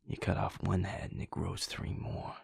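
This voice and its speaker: Guy doing a werewolf boyfriend, super low and gravelly, hushed and close, audio rp. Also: monotone, moderate pace, no room sound, heavily acted. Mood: worried. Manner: soft, hushed, confiding. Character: caring werewolf boyfriend, young adult male. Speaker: audio roleplay performer. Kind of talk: intimate audio roleplay.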